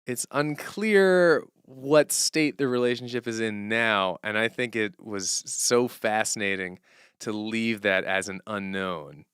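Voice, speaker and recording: A man chatting casually and unscripted as a streamer and songwriter, animated and rambling as he thinks aloud and interrupts himself. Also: fairly low pitch, slow pace, clean tone, no echo, clean studio sound. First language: English as main language, German heard at home.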